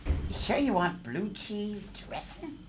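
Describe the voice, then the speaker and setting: A woman's voice: mid-range voice, big pitch swings, slow pace. A woman playing a blunt, oblivious elderly waitress in a live comedy table read. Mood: unbothered. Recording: live stage, audience noise.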